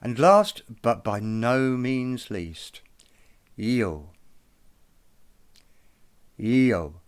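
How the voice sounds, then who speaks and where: Slow, measured man explaining a lesson. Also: mid-range voice, lively intonation, smooth. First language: not Irish. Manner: slow and measured, careful over pronunciations. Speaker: presenter of an educational video.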